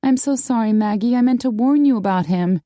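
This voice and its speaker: A woman voicing a gentle, troubled female friend in an audiobook, speaking earnestly and sympathetically. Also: fairly high pitch, fairly quick, no echo, studio quality, pitched above the performer's own voice, lightly acted. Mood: apologetic, worried. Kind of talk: audiobook character acting.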